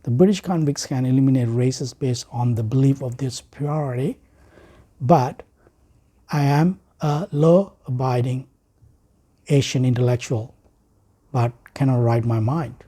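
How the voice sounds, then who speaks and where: A Sri Lankan man reads out a prepared speech in a very dark, soft-edged voice. His tone is husky and mid-pitched. He speaks formally and deliberately, setting off phrases with pauses for emphasis. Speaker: writer and speaker.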